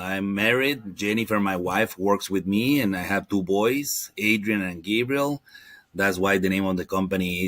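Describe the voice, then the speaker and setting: Low-voiced man with a Guatemalan Spanish accent. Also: a bit bright, no room sound. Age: 40s. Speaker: podcast guest, marketing entrepreneur. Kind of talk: casual interview chat.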